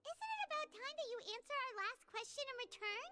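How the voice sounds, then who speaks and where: A woman's voice: very high voice, quick pace, sharp tone, faint music behind. A woman voicing a tiny, childlike fairy companion in a video game, chirpy, nosy, blunt and cheekily pushy. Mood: cheeky, insistent. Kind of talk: scripted video game dialogue.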